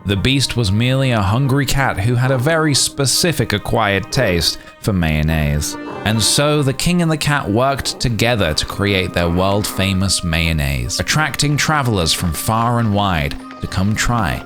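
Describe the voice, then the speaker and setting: Deep, animated male fairy-tale narrator. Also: a little slow, over music. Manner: dramatic, grandiose storytelling.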